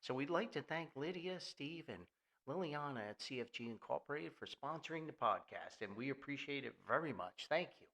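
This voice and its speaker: A man's voice: medium pitch, lively intonation, a little fast, sharp tone, a little hiss or hum. An older man hosting an informal podcast in a folksy, jokey, rambling way with theatrical emphasis. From New Jersey, United States. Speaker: podcast host. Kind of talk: informal solo podcast monologue with sponsor reads.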